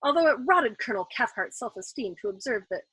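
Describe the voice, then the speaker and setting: A woman reading a novel aloud in an expressive, dramatic audiobook style, as the host of a storytime. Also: fairly high pitch, quick pace, breathy edge, no room sound.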